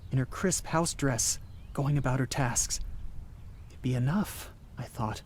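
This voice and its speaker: An actor playing a haunted, frightened male traveller, telling his ordeal in an intense, halting radio play style with repeated words. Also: mid-range voice, a little mellow, a little hiss or hum, lightly acted. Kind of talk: dramatic first-person radio play narration. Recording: music under the voice. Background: dramatic music.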